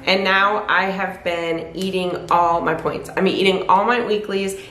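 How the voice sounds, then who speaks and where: A woman's voice: lowish voice, fairly even intonation, unhurried, sharp tone. Chatty woman in her fifties, echoey room. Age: 50s. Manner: rambling, candid, self-correcting. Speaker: weight loss vlogger. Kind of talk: casual chat to camera. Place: living room by a fireplace, indoors. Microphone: camera at desk distance.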